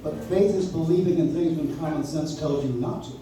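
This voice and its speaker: A man's voice: fairly high pitch, fairly quick, natural voice. Male actor as sincere, pleading young lawyer for live radio drama. Heard distantly in a very reverberant room. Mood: earnest, hurt. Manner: earnest, pleading. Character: earnest, idealistic young lawyer and suitor. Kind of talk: old-time radio play acting on stage. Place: live stage in a coffee house. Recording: very echoey, distant audience recording, faint noise.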